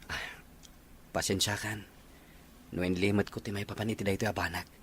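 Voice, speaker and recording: A man's voice: on the low side, little pitch movement, moderate pace, a bit bright, slightly rough. A man playing a humble, apologetic young man in an audio drama. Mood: ashamed. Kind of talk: Ilocano audio drama acting.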